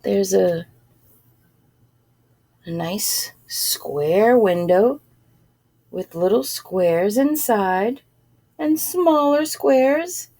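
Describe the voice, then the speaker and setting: A woman reading a picture book aloud in an expressive, animated way, with casual asides and drawn-out phrasing. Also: medium pitch, gravelly. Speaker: storybook reader.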